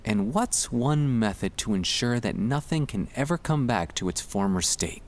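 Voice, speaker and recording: A man speaking in a gentle, soothing, reassuring way, delivering a scripted ASMR guided relaxation to help the listener sleep. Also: medium pitch, lively intonation, moderate pace.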